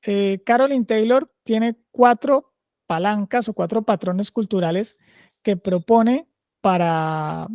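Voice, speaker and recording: A man's voice: high voice, slow pace, a little mellow, dry recording, clean studio sound. A man with a Caleño Colombian accent, a podcast guest, explaining ideas conversationally and often checking in with his listener. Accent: Caleño, Cali Colombian Spanish. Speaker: guest, agile culture consultant.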